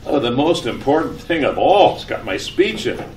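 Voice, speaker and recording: A man's voice: medium pitch, very animated, normal speed, darkish tone, natural voice. A man playing a flustered, forgetful husband in an old-time radio comedy skit, stammering and exasperated. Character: flustered, forgetful husband leaving on a business trip. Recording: echoey room, distant mic, background noise.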